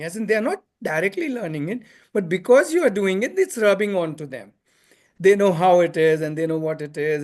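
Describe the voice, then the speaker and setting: A man leading a parents' meeting, explaining ideas in a joking, animated way. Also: on the high side. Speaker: community facilitator. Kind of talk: casual discussion.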